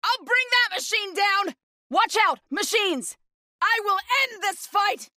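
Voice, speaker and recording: Intense, bright-voiced young warrior woman. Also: very high-pitched, animated, slow pace, dry recording, studio quality, heavily acted. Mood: urgent, fierce battle cries. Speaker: video game voice actor. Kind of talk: scripted game combat barks and companion lines.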